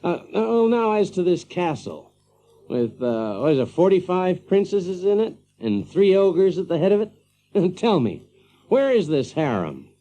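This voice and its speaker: Lively, sardonic Yankee. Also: male voice, high voice, measured pace, highs cut off, a little hiss or hum. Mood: impatient, baffled. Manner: folksy, hesitant, chuckling, sardonic. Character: wry, exasperated Yankee man telling his tale in a medieval land. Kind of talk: audio drama acting and first-person storytelling.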